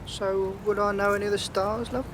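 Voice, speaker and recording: An actor playing a jovial, flirtatious police officer in a comedy radio play. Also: male voice, high voice, flat delivery, brisk, background noise, lightly acted. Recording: busy street with crowd behind.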